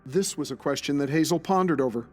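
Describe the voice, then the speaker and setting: An actor playing a grave storyteller, narrating a friend's dark case in a scripted audio drama. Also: male voice, fairly high pitch, fast, faint music behind, close to an everyday voice. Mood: grave, ominous.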